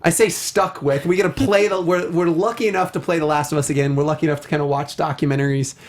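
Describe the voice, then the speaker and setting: A man's voice: a little high, steady tone, fast talker. An American man co-hosting a casual, enthusiastic talk show, rambling and often interrupting himself as he goes. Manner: rambling, self-interrupting, effusive. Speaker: podcast co-host.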